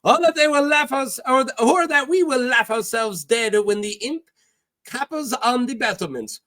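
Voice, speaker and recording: A man voicing a flamboyant, boastful, teasing pirate captain from a fantasy novel, with a put-on foreign accent and a theatrical, halting delivery. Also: extremely high pitch, lively intonation, pushed and bright, pitched above the performer's own voice, exaggerated voice. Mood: mocking, scheming.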